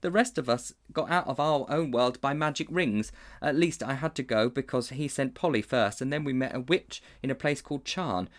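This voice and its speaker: A man's voice: moderate pace, few pauses, close to an everyday voice. A man voicing a guilty, frightened young boy who stammers in a raised voice. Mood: anxious. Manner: anxious, stammering, raised pitch.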